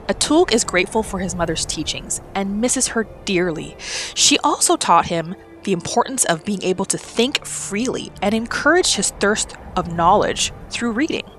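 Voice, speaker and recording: A woman's voice: mid-range voice, a bit bright. A woman narrating a character's backstory for a video game roleplay in a scripted storytelling style.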